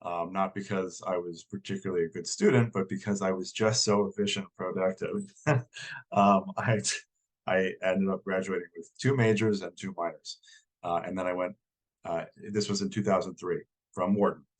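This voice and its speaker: Deep voiced guy, bit croaky from a cold, stumbly restarts, on a video call. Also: steady tone, normal speed. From New York. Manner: hesitant, many restarts. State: hoarse from a cold. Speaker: guest speaker. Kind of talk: casual presentation.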